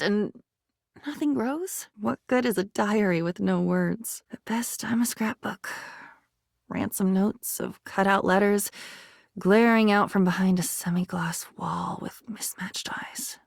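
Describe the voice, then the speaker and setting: A woman's voice: mid-range voice, gravelly, no echo, clean studio sound. A woman voicing a melancholy living diary in a video game, needy and poetic, speaking in wistful, emotional fragments. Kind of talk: dramatic video game voice acting.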